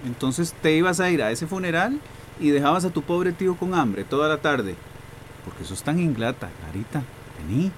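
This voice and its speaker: Domineering, wheedling uncle, male actor, Costa Rican accent, fairly high, very animated radio-drama delivery. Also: moderate pace, a little hiss or hum, close to an everyday voice. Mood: complaining, wheedling. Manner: domineering, swinging from syrupy coaxing to anger. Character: menacing, wheedling, possessive uncle abusing his young niece. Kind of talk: Spanish-language radio drama acting.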